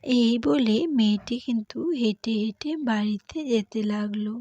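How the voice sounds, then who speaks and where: Mellow-voiced woman narrating a cartoon story. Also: fairly high pitch, fairly even intonation, normal speed, highs cut off.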